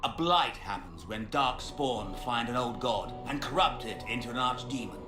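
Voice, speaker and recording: An actor playing a smug, fanatical mage villain in a fantasy game, gloating and pompous in a theatrical way. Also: male voice, mid-range voice, lively intonation, normal speed, lightly acted. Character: smug fanatical male mage villain serving a dark master. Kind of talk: dramatic fantasy game acting. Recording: echoey, with music behind.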